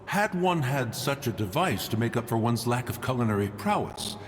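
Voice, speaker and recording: A man's voice: mid-range voice, sing-song, moderate pace, slight background noise, close to an everyday voice. Man as a lofty immortal sage, reverberant. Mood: haughty, formal. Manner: lofty, formal, archaic phrasing. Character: proud, archaic-spoken immortal male sage. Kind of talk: video game voice acting. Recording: long hall reverb. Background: music.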